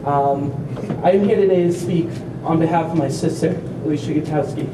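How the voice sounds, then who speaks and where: A young man giving an earnest, heartfelt speech at a community walk, slightly halting as he speaks. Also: on the high side. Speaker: family member speaker at an awareness walk. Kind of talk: prepared speech to a crowd. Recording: echoey, distant, noisy event recording.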